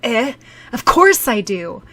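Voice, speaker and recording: A woman's voice: on the high side, sing-song, slow, heavy background noise, pitched above the performer's own voice. A woman voicing a grumpy, easily embarrassed teenage boy who grumbles and mutters in an audiobook. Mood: suspicious.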